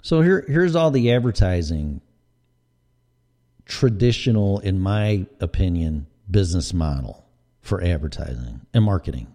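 A man's voice: low voice, sing-song, a little slow, mellow. A middle-aged man with a Chicago accent, hosting a podcast in a blunt, profane and sarcastic casual rant. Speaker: podcast host.

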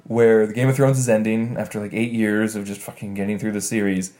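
A man's voice: lowish voice, little pitch movement, a little fast, dark tone. A man from Canada co-hosting a podcast, chatting casually and tired from little sleep, acting out quotes in silly voices. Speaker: podcast co-host. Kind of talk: casual chat.

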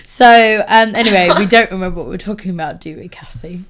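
A young woman from England co-hosting a podcast in casual chat, hoarse and tired, giggly and rambling, swearing freely. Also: medium pitch, fairly quick, a bit bright, slight background noise. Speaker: podcast co-host.

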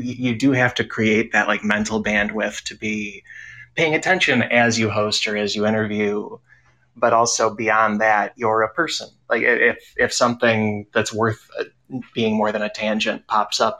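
A man's voice: fairly low pitch, bright, forceful tone, low-quality recording. A man speaking casually and earnestly as a podcaster in a panel discussion.

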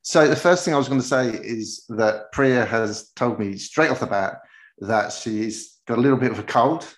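A man's voice: mid-range voice, moderate pace. A man hosting a podcast interview in a casual, jokey and rambling conversational way. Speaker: podcast host. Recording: roomy, echoey sound.

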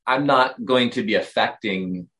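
A man's voice: medium pitch, measured pace, sharp tone. A man co-hosting a faith podcast, reflective and hesitant, with many ums and uhs. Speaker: podcast co-host, coach.

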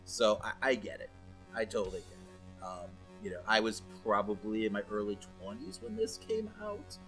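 A man's voice: on the low side, animated, normal speed, fairly bright tone, faint music behind, low-quality recording. A man in his forties co-hosting a podcast, debating films casually in a blunt, teasing way with some swearing. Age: 40s. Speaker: podcast co-host.

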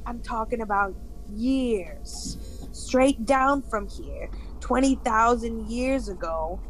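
An actress playing a plain-spoken, puzzled field geologist in a radio science fiction drama, blunt and informal. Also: female voice, a little high. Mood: exasperated, puzzled. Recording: noisy old radio recording.

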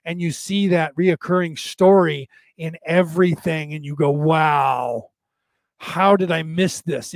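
A man hosting a casual livestream, rambling through spiritual musings with many restarts, his slightly raspy voice tiring. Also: fairly high pitch, dry recording. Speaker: podcast host. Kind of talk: casual livestream monologue answering viewer comments.

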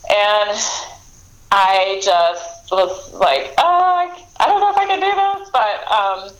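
A woman's voice: lowish voice, low-quality recording. A woman author being interviewed, talking casually with many fillers and restarts. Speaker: author guest.

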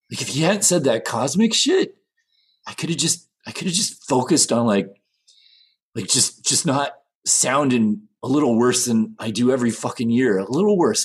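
A man playing an insecure, aging rock singer in an improvised scene, rambling and stammering in a husky voice. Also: mid-range voice, exaggerated voice. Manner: rambling, stammering, repetitive, sweary. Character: needy, insecure aging male rock singer, past his prime. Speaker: actor in an improvised theater scene. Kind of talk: improvised monologue and dialogue acting.

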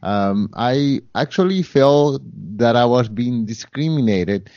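A man's voice: mid-range voice, animated, takes it slowly, a little mellow, dry recording, lo-fi sound. A man with a Spanish accent, a guest in an interview, talking earnestly with many fillers and restarts. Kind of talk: interview conversation.

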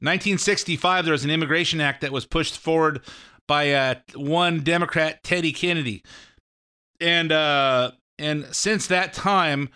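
A man's voice: fairly high pitch, unhurried, pushed and bright, smooth. A middle-aged American man giving casual, opinionated political commentary in a rambling way, repeating his phrases. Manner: rambling, repeats phrases, acts out imagined quotes. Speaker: political commentator and show host.

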